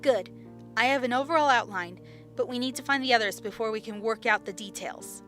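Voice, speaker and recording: A woman's voice: fairly high pitch, brisk, a bit bright, lightly acted. A woman playing a blunt, decisive young female leader in an audio drama. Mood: resolute. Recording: music clearly behind.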